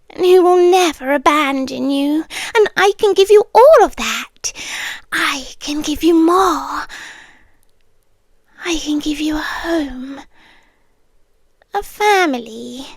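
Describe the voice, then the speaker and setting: Casting: woman as a sweet, possessive forest queen, high voice, very slow, whispery and coaxing. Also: no echo. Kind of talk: scripted audio roleplay.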